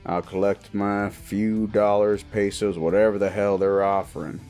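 A man playing a gruff, world-weary cowboy bounty hunter in a Western audio roleplay, with a folksy, threatening yet wry manner. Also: fairly low pitch, flat delivery, normal speed, a little mellow, close to an everyday voice. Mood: impatient, firm. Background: guitar music.

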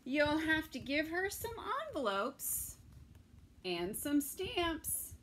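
A middle-aged woman reading a picture book aloud to toddlers, expressively, with pauses between phrases. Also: high voice, very animated, a bit bright, slight background noise. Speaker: children's story time reader. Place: small indoor room with bookshelves.

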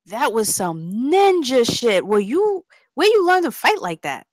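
A woman's voice: on the high side, wildly swooping pitch, unhurried, no room sound, studio quality, heavily acted. A woman playing a tough, brash young gang member in a drama table read, with streetwise, slangy delivery. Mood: impressed, curious.

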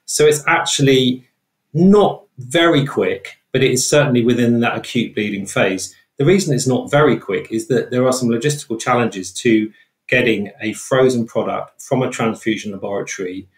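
A British man explaining research as an interview guest in a measured, careful way. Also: medium pitch. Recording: roomy, echoey sound.

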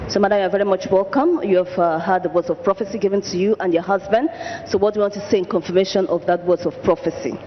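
A man interviewing people about their testimony in a large church hall, asking brisk, prompting questions into a handheld mic. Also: high-pitched, fairly even intonation, slight background noise. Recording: dull echoey hall sound.

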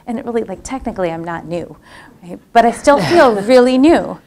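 Gravelly-voiced woman panelist, reflective. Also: a little high, expressive, brisk, a little mellow. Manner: reflective, personal, thoughtful. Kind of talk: panel discussion. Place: lecture room with audience.

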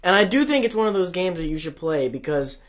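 Young man in casual gaming chat. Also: high voice. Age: young adult. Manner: animated, talks with his hands, quick asides. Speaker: co-host. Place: small home studio with posters. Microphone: desk condenser mic.

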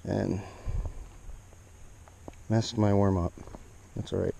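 A man's voice: fairly low pitch, little pitch movement, slow, gravelly, dark tone. A young man casually narrating his fishing trip on a rocky lakeshore, chatting to the fish and his viewers, recorded on an action camera. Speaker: fishing vlogger. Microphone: action camera, head-mounted and at arm's length.

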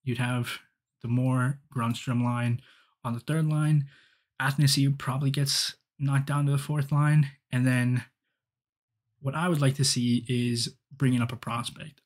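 A young man giving rambling solo hockey commentary with many ums and restarts, recorded on a headset in a small dim room. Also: medium pitch. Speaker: hockey fan podcaster. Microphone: headset, webcam framing.

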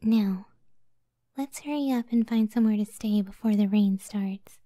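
A woman voicing a gentle, self-doubting giant cyclops wife in a soft, breathy ASMR roleplay, speaking tenderly and often near a whisper. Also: medium pitch, no room sound, lightly acted. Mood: tender, caring. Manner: slow, tender, unhurried.

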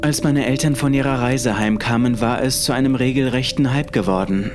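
A man's voice: medium pitch, a little fast. A man narrating a scripted first-person horror story. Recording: music under the voice. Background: music.

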